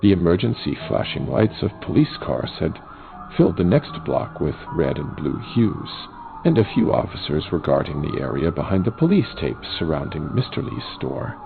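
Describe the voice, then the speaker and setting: A man playing an eyewitness, narrating a tense first-person horror story in a gravelly voice. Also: very deep voice, sing-song, moderate pace, heavily acted. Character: male neighbourhood eyewitness recounting a tense night. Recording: thin phone-like sound, music, sirens. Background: music and sirens.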